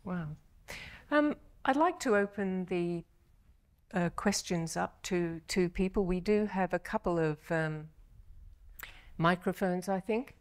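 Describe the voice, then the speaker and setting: A woman's voice: fairly low pitch, slow. A woman with an Australian accent moderating a panel, with short conversational prompts and questions.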